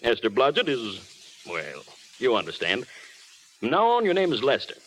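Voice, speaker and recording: An actor playing a brisk, frank but kindly studio boss in a vintage radio drama. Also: male voice, medium pitch, wildly swooping pitch, a bit bright, rough recording. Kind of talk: 1930s-40s radio drama acting. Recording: hissy vintage radio broadcast.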